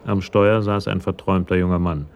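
A man's voice: deep voice, little pitch movement, fairly quick, a little mellow, dull audio, slight background noise. A man narrating a crime radio drama from a script in a storytelling role.

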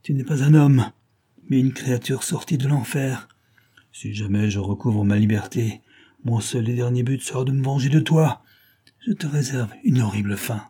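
A man reading a story, voicing a wounded, vengeful male villain who snarls and shouts curses. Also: mid-range voice, normal speed, husky, lightly acted. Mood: furious.

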